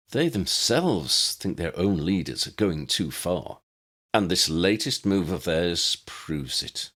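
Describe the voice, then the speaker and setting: A grave wizard orator played by a male narrator delivers a rallying speech in a low voice. His pitch swings widely as he stresses key words and leaves dramatic pauses, as in a fantasy audiobook. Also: a bit bright, full character voice. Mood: indignant, urgent. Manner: rhetorical, measured phrases with emphatic stresses and dramatic pauses. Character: charismatic male wizard demagogue rallying a meeting, impassioned and grave.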